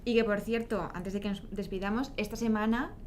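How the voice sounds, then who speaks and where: A woman's voice: medium pitch, fairly quick, darkish tone, a little hiss or hum. A woman co-hosting an entertainment show, chatting in a friendly, teasing way. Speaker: co-host. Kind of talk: casual entertainment chat.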